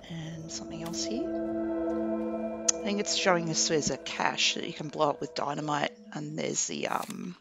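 Gravelly man narrating a game. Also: a little high. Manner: relaxed, chatty, thinking aloud while playing. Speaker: gaming commentator. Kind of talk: casual let's play commentary.

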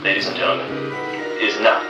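A young man delivering solemn mock-suspense narration, measured, with dramatic pauses. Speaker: host narrator. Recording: dull, echoey, slightly distant, music behind.

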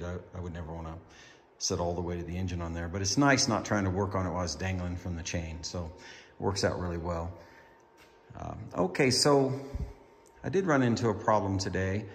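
Low-voiced man in an echoey garage. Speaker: hobbyist car builder presenting a build update. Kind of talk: casual explanatory vlog. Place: indoor home garage workshop. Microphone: handheld camera, speaker behind it. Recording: reverberant garage, clear background room noise.

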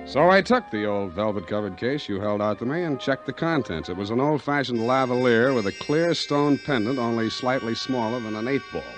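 An actor playing a wisecracking hard-boiled private detective in 1940s radio drama, dry and unflappable. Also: male voice, mid-range voice, animated, fairly quick, hardly any pauses, music in the background, lightly acted. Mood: dry narration.

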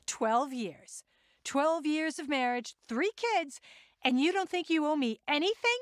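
A woman's voice: high-pitched, lively intonation, takes it slowly, dry recording, clean studio sound. An actress playing a hurt, insistent wife and mother in a radio drama, pleading and pressing.

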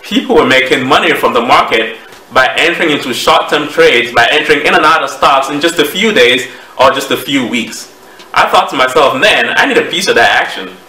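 A man in his thirties with a Cameroonian accent, giving a measured, explanatory presentation to camera as a trading educator. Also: mid-range voice, lively intonation, sharp tone. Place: small home office, indoors. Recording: roomy echo, distant microphone.

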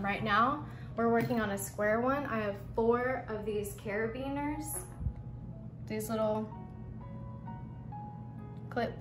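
A young woman with a slightly high voice talks through her setup in a home garage, chatty and informal with a relaxed y'all. Her phone camera catches a bit of the garage sound, and clear background music plays under her the whole time. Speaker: painting channel host. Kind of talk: casual DIY walkthrough.

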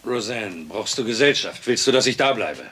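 Easygoing, low-voiced sitcom dad. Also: male voice, lively intonation, normal speed, fairly bright tone. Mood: gentle, supportive. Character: easygoing husband and father, teasing yet supportive. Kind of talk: German-dubbed sitcom dialogue. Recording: clearly noisy background.

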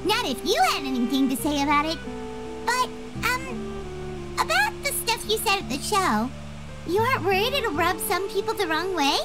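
Super high squeaky fairy girl, chirpy and excitable, bouncy pitch, game music behind. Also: normal speed. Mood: earnest. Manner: excitable, speaks of herself in third person. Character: small floating fairy girl companion, chirpy, childlike, loyal. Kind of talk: video game voice acting.

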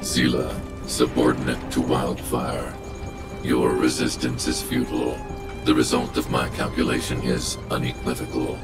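An actor playing a towering, logical guardian robot in a video game, speaking formally and without emotion. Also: male voice, rumbling low, monotone, a little slow, over music. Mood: cold, calculating. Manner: formal, clipped, emotionless, calculating delivery. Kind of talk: video game character dialogue.

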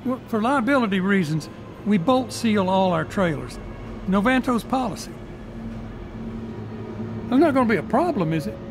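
A high-pitched, businesslike male trucking dispatcher in an audio drama. He talks briskly and insistently, over loud ambient vehicle noise. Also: lively intonation. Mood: pressuring. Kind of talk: naturalistic audio drama dialogue. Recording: noisy ambience with vehicle sounds.

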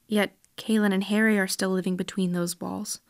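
A woman's voice: medium pitch, flat delivery, normal speed, gravelly, no echo, studio quality, close to an everyday voice. A woman playing an earnest, questioning girl raised in space, in a science fiction audio drama.

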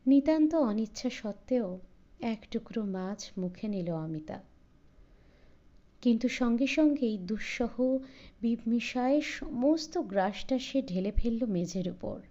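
Slow, mellow woman narrating a story. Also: mid-range voice, highs cut off. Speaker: audiobook narrator. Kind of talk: scripted literary story narration.

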